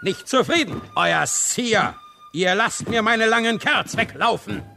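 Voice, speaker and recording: An irascible old-world king with a very high, gravelly voice. He swings wildly in pitch and barks curt commands like a drill master, brutal and miserly, in a radio play with music behind him. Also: expressive, measured pace, heavily acted. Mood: irritated. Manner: barking, commanding, archaic curt military speech. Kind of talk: radio drama acting.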